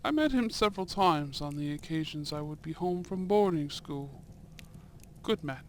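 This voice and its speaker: A man playing a young, courteous and shrewd Edwardian viscount in a romantic audio roleplay, with formal, deliberate phrasing and soft murmurs. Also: on the high side, animated, slight background noise, natural voice. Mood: measured, amused, then grave, disapproving.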